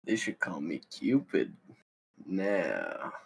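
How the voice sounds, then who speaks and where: Casting: male actor as swaggering young horndog, slow drawn-out delivery, fry in the voice. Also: low-pitched, wildly swooping pitch, heavily acted. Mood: cocky. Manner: swaggering, crude. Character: cocky, sleazy teenage party wingman. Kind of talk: film script table read.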